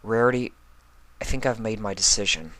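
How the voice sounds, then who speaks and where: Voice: mid-range voice, moderate pace, fairly bright tone, dry recording, voice pushed up, lightly acted. A performer voicing a gallant, devoted young male pony in a cartoon fan story, with an earnest, heartfelt manner. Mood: sincere.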